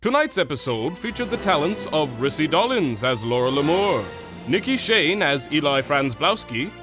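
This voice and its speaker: Animated male radio serial announcer. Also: on the high side, normal speed. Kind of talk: old-time radio serial announcing, scripted. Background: theme music.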